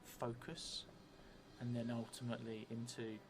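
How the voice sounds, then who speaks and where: Middle-aged male solo game narrator, slow and reflective, piecing the story together aloud, music underneath. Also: mid-range voice, completely flat delivery. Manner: thoughtful, halting, thinking aloud in short phrases. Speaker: solo role-playing streamer. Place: indoor room set up for streaming, seated at a table.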